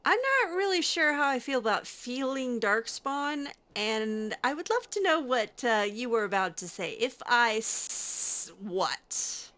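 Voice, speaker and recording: A woman's voice: a little high, big pitch swings, unhurried, hardly any pauses, bright, forceful tone, full character voice. A woman voicing a skeptical, curious young mage in improvised game roleplay, hesitant and questioning with sardonic asides. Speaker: roleplay gamer.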